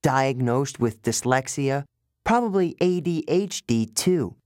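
A man voicing a smart, confident girl camper who explains things earnestly, in a higher voice than his own. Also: lively intonation, slow pace, darkish tone, dry recording, studio quality.

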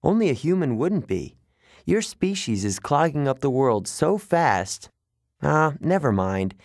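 A man voicing an earnest, idealistic young male satyr who sounds wistful and quick to take offense. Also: fairly high pitch, big pitch swings, darkish tone, no echo, studio quality, voice pushed up. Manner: wistful, indignant about pollution.

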